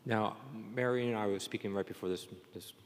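Deep-voiced male moderator in a reverberant hall. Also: steady tone, brisk. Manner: rambling questions, restarted and rephrased. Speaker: panel moderator. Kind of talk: unscripted panel questioning. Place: indoor conference panel hall.

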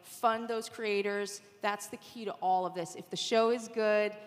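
A woman's voice: mid-range voice, steady tone, unhurried. A woman speaking on a conference panel in an informal, emphatic, conversational way. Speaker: podcast industry revenue executive, panelist. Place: large room at a conference panel. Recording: echoey hall with long reverb.

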